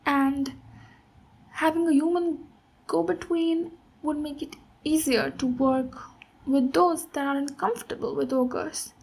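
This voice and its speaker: A woman playing a big, friendly female ogre warrior in an ASMR roleplay, speaking gently and encouragingly as a mentor. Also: high voice, creaky, heavily acted. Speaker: ASMR voice actor. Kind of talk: ASMR roleplay, slow intimate monologue.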